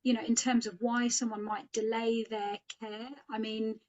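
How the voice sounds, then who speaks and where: A woman's voice: on the high side, little pitch movement, moderate pace, a little mellow, highs cut off. A woman from Sri Lanka, a headache specialist, talking thoughtfully in a podcast discussion with frequent fillers. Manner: thoughtful, many fillers like "you know".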